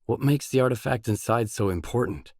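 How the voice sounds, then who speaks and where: An actor playing a gruff, guarded, hard-bitten mercenary in a video game, speaking in terse, blunt, businesslike lines. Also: male voice, medium pitch, fairly quick, a little mellow, no room sound, studio quality, close to an everyday voice. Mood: inquisitive, guarded.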